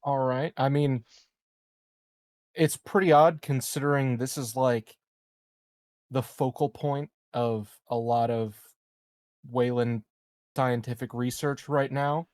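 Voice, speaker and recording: A man taking part in a tabletop game, mulling things over aloud and tossing out dry, sarcastic remarks. He speaks at an ordinary pitch, and the recording is close and dry. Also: steady tone, slow, long pauses, a little mellow, no echo. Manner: wry, sarcastic. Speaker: player. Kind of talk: casual in-character banter at a game table.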